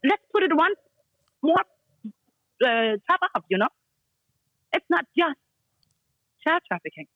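A woman's voice: high-pitched, sing-song, a little slow, dry recording. A woman on a dull, muffled call line with no room sound at all. She speaks bluntly and with force, in English with non-native grammar. She repeats short commands over and over to drive each point home. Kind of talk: casual chat.

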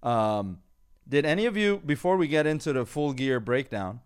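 A man's voice: medium pitch, expressive, normal speed, clean tone. A man hosting a podcast, chatting loosely and rambling with frequent laughs. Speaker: podcast host. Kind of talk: casual podcast chat.

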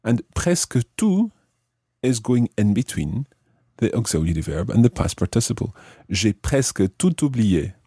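Low, mellow-voiced man teaching. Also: big pitch swings, dry recording, clean studio sound. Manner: clear, deliberate teacherly phrasing. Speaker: language lesson host explaining French grammar. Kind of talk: instructional explanation switching between English and French examples.

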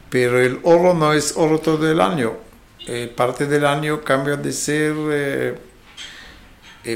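A mature man with an Israeli accent speaks as an interview guest. His delivery is slow and halting, feeling for words in a language that is not his first, at an average male pitch. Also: vocal fry. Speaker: interview guest, fruit export marketing specialist. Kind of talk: conversational interview answers.

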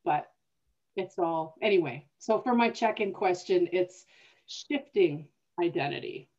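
A woman's voice: fairly low pitch, expressive, a little slow, a little mellow, lo-fi sound. A woman co-hosting a casual conversation, thinking aloud with small restarts. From Canada. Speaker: co-host. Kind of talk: casual conversation over video call.

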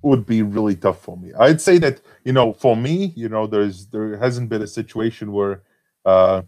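A man's voice: on the low side, normal speed, a little mellow. A man from Latvia with a Latvian accent, talking casually and hesitantly with many fillers and restarts. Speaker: forum member being interviewed. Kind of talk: casual conversation.

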